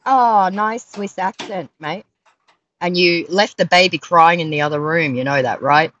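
A woman's voice: lowish voice, measured pace, fairly bright tone, dull audio, slight background noise. A middle-aged woman on a video call, sarcastic and mocking as she scolds the other person. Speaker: scambaiter. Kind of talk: confrontational phone call. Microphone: phone on a video call.

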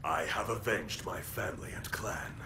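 An actor playing a vengeful, anguished undead ninja in a video game, with a gravelly, dramatic delivery. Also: male voice, deep voice, normal speed. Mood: grim, resolute. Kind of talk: video game cutscene acting. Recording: loud music under the voice. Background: loud dramatic game music.

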